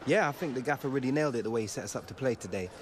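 A man's voice: mid-range voice, very fast, noisy background, natural voice. A young man with an English accent playing a modest, earnest footballer in a game cutscene. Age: young adult. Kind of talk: video game cutscene acting.

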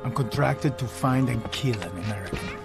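Tough male mercenary played by an actor, gravelly, terse, brooding, video game cutscene with clear music underneath. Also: mid-range voice, normal speed, natural voice. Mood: cool, businesslike. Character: tough, cocky male gun-for-hire action hero. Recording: music behind.

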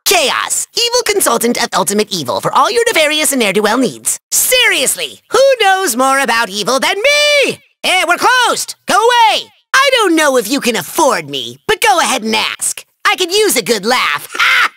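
A man voicing a small, pompous self-styled evil emperor in a video game, with a shrill nasal voice, gravelly edge and bombastic theatrical delivery. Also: wildly swooping pitch, moderate pace, hardly any pauses, bright, forceful tone, echoey room, in a large hall, heavily acted. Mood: haughty. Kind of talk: cartoon villain game voice acting.

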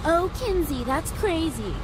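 An actress playing a paranoid young techie, exasperated and defensive, in a game cutscene. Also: female voice, extremely high pitch, animated, a little slow, music in the background, poor audio. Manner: exasperated, told-you-so.

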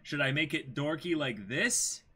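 A young man hosts a livestream in a bright, slightly husky voice. His pitch jumps around as he chats and reads aloud. He is jokey and often repeats short phrases. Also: lively intonation, a little slow. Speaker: streamer hosting a manga dramatic reading. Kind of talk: casual livestream chat, reading aloud. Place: small indoor room with backdrop.

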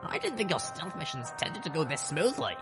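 A man's voice: on the high side, sing-song, brisk, over music, pitched above the performer's own voice. A man voicing a blunt, indignant female griffin in an audiobook. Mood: restless. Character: indignant young female griffin companion, blunt, trigger-happy. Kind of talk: audiobook character voice.

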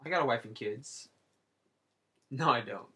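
A man's voice: mid-range voice, measured pace, pushed and bright, slightly rough. A man in his late twenties chatting casually and jokingly while he draws, rambling and teasing his viewers. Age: 20s. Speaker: artist and channel host. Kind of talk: casual chat while drawing.

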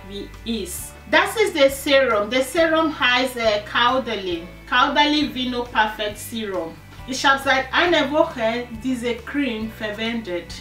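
A woman in her forties reviewing a skin serum from her sofa, in simple, halting German full of fillers. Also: a little high, a bit bright. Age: 40s. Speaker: beauty vlogger. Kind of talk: casual product review. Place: living room, seated on a sofa. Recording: echoey room, distant mic, faint music.

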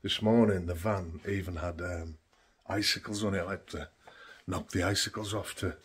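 Middle-aged vlogger, slightly low and throaty, casual walkthrough at home. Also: male voice, steady tone, normal speed, breathy edge. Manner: chatty, matter-of-fact walkthrough. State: throaty from a chest infection. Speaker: van life vlogger. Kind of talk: casual how-to vlog. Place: home kitchen indoors.

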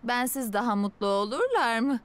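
A woman playing a caring, harried young female mechanic in a children's cartoon dub, with an expressive motherly manner. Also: fairly high pitch, moderate pace. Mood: worried.

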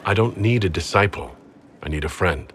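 An actor playing a calm, reassuring fantasy leader in a video game, speaking in a measured, gentle way. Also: male voice, deep voice, slight background noise. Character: calm, reassuring young-to-middle-aged male fantasy leader. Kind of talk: video game dialogue acting.

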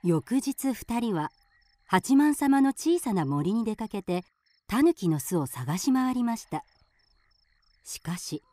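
Lady narrating an old folk tale for radio, soft mellow voice, expressive. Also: mid-range voice, no room sound. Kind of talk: scripted storybook narration for a radio drama.

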